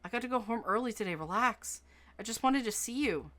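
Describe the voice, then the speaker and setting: A woman narrating as a soft-spoken, anxious young boyfriend in a first-person story. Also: on the high side, expressive, a little fast. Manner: quiet, fretful, self-doubting.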